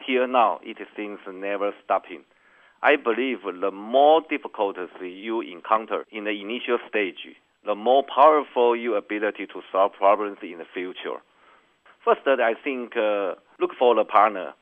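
A lowish-voiced man answers business questions in measured, careful English. The sound is thin and narrow, like a phone line. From Taiwan. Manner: careful non-native English, measured and earnest. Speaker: company executive guest.

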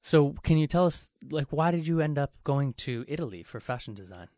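A man's voice: fairly high pitch, animated, fairly quick, darkish tone, no room sound, studio quality. A man hosting a show, speaking in a chatty, effusive, casual interview style. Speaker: show host.

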